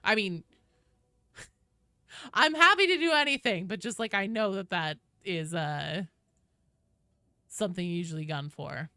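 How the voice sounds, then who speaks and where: A woman's voice: medium pitch, lively intonation, a little slow, pauses often, sharp tone, clean tone, no room sound, studio quality. A woman hosting a casual game livestream, chatting informally and explaining things at length as she talks directly to viewers. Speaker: video game streamer, host.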